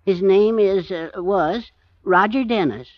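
A man's voice: high-pitched, takes it slowly, no room sound. A guilt-ridden little American man on the run in an old radio play. He talks in blunt, plain phrases, recorded dry and slightly muffled in 1940s fashion. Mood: weary, uneasy. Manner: terse, blunt, plain-spoken. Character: short, nervous, guilt-ridden man hiding out for years. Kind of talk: 1940s radio drama acting.

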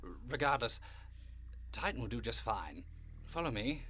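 A man's voice: medium pitch, sing-song, moderate pace, bright, forceful tone, slight background noise. Prim, swooping, theatrical goat-man monster. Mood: smug. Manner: prim, courtly, long-winded, old-fashioned wording. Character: genteel, fussy old Jersey Devil, a winged goat man. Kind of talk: audio drama acting.

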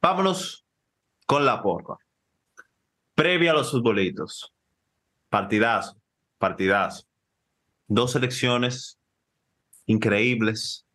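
A man co-hosting a football podcast, talking fast and excitably with lots of slang and jumping in over his co-host. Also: mid-range voice. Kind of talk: casual football chat.

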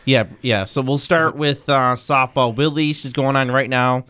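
A man reading high school softball and baseball scores on a radio show, brisk and matter-of-fact. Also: fairly even intonation, clean tone. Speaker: sports radio host giving score updates.

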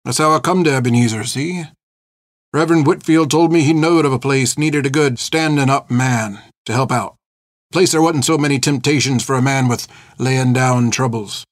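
A male audiobook narrator voicing an old reformed pirate, gruff and haunted, talking in rustic, ungrammatical sailor's speech. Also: mid-range voice, moderate pace, no echo, studio quality, lightly acted. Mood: subdued, remorseful. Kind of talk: dramatic audiobook character acting.

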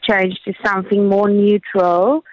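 A woman's voice: medium pitch, no room sound, muffled recording. Afrikaans-accented woman explaining on radio. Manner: steady, explanatory, many "um" pauses. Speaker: education project coordinator. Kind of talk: radio interview guest.

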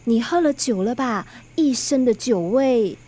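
A woman's voice: a little high, animated, a little slow, dark tone. A woman playing a caring, perceptive teenage daughter in a radio drama, earnest and coaxing, with a Singaporean accent. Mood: knowing.

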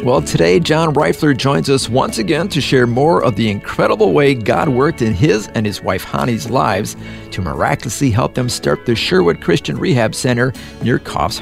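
A man's voice: medium pitch, big pitch swings, moderate pace, creaky, music in the background. A man hosting a faith talk program and introducing his guest with enthusiasm. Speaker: host. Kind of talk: radio program host.

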